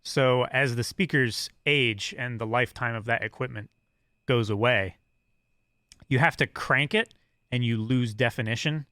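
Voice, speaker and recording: A man's voice: mid-range voice, unhurried, bright, forceful tone, dry recording, studio quality. A man co-hosting a podcast in casual chat, laughing often and explaining with confidence. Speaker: podcast co-host.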